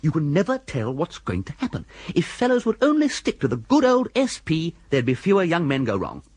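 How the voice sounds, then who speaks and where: An excitable young English toff in a radio comedy. His pitch leaps all over the place and the voice is slightly husky. He is amiable, dim and full of posh period slang. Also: fairly quick, dry recording, exaggerated voice. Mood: chatty narrating. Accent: upper-class English. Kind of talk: radio comedy drama narration and dialogue.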